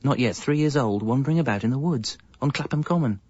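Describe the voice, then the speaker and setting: A man's voice: mid-range voice, fast, darkish tone, no room sound, dull audio, close to an everyday voice. An English man playing a hapless, evasive young father, narrating and talking in a radio comedy with a hesitant, wry manner. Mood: wry, anxious. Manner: hesitant, self-justifying, wry. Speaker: narrator and lead character.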